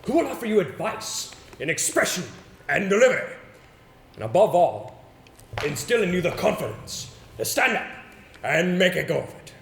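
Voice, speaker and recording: A man's voice: high-pitched, big pitch swings, creaky, fairly bright tone, exaggerated voice. A man on a theater stage playing an imperious, exacting acting coach who declaims pompously with grand flair. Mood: insistent, grand. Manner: pompous, declamatory, deliberate diction. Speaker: stage actor in an amateur two-man play. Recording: very echoey theater, distant mic, noisy.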